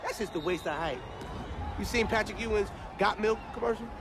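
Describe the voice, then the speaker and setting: An actor playing a brash, excitable young man who yells and banters in a packed indoor arena; his voice is high and strained. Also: crowd noise. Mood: mocking. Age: young adult. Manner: yelling, rapid comic banter. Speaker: young man courtside at a pro basketball game. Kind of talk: broad comedy film acting.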